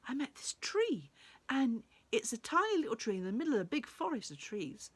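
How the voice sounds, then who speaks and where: A polite male wanderer from a storybook, played by a woman. He is obliging and a little hesitant, with lively, wide-ranging intonation. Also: fairly high pitch, a little fast, slightly rough. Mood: hesitant, earnest. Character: polite, helpful young male wanderer seeking his luck.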